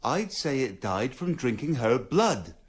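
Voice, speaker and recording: A man's voice: medium pitch, very animated, measured pace. An actor playing an earnest, dogged young male police constable in a radio drama, deferential and matter-of-fact. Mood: earnest, dutiful.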